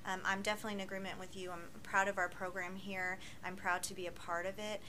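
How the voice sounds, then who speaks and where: A woman interviewing school staff, affirming and soft-spoken. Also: mid-range voice, little pitch movement, quick pace, a little hiss or hum.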